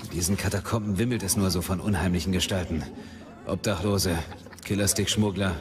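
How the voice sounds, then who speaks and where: An actor playing a businesslike military captain in a radio play, reporting in a matter-of-fact manner. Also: male voice, low voice, fairly even intonation, fairly quick, natural voice. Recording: reverberant studio drama, faint music.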